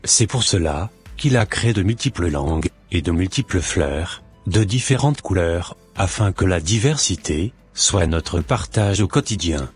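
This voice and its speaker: Cartoon heavenly messenger, voiced by a man: stern, declamatory, very deep and husky, music underneath. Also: animated, unhurried, few pauses, exaggerated voice. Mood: solemn. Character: solemn male heavenly messenger, stern preacher of unity. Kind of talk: scripted cartoon preaching. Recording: music behind the voice.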